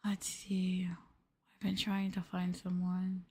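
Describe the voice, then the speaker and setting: A woman streamer chatting softly in an ASMR livestream style, gentle and hesitant, sometimes drifting into a whisper. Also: on the low side, completely flat delivery, slow pace, husky. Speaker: streamer, VTuber host.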